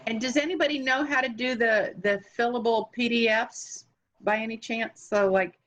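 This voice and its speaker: A woman's voice: a little high, moderate pace, fairly bright tone, rough recording. A woman presenting at an online session, asking plain, direct questions over a video call. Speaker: guest presenter. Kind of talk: casual online meeting chat.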